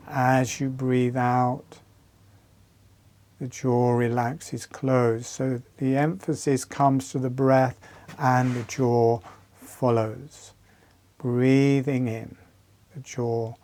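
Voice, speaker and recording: A man leading a guided breathing and relaxation exercise, speaking calmly and soothingly in short phrases with long pauses. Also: medium pitch.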